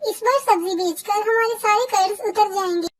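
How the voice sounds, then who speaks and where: A woman's voice: very high voice, normal speed. A woman voicing a sweet, earnest young female bird in a Hindi cartoon, polite and plaintive.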